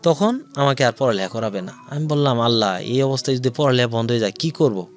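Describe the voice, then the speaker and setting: A man's voice: mid-range voice, animated, quick pace. A man with a Bangladeshi accent tells his own life story as an interview guest, in detail and with quoted dialogue.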